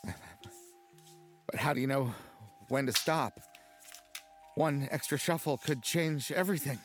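A man's voice: mid-range voice, slow, soft background music. An actor playing an anxious, luckless ad man in an audio drama, pleading and insistent. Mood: suspicious, anxious. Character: anxious, desperate, luckless low-level ad man. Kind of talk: naturalistic audio drama acting.

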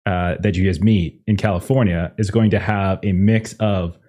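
A man's voice: sits low, steady tone, normal speed, mellow. Rambling man hosting a tabletop game. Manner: rambling, many fillers, laughs at own jokes. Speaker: game master. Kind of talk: casual chat opening a tabletop game stream.

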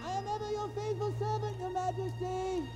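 An actor playing a small, fawning steward in a medieval audio drama, wheedling in an oily, obsequious way. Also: male voice, very high voice, level tone, mellow. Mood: servile. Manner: obsequious, oily, drawn-out delivery. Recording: reverberant hall with music behind.

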